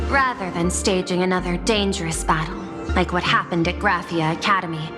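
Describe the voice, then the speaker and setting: An actress playing a composed, dutiful corporate executive in a video game, speaking formally and with measured care. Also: female voice, medium pitch, close to an everyday voice. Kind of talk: scripted game voice acting. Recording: long reverb, loud music behind.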